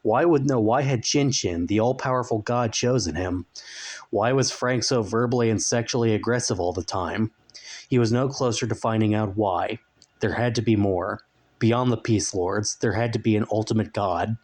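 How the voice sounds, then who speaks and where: A man's voice: lowish voice, moderate pace, a little mellow. A man narrating a story as a dramatic reading, in scripted audiobook style.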